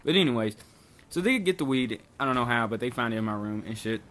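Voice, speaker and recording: A man's voice: mid-range voice, expressive, quick pace, a bit bright. A young man from Bosnia casually telling a rambling, profane story, full of "like" and swearing. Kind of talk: casual storytime over gameplay.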